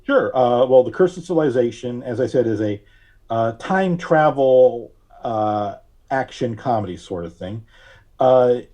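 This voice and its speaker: A man talking casually as a podcast guest, halting and thinking aloud as he goes. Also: mid-range voice, animated, mellow. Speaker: audio drama writer. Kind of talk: casual interview talk.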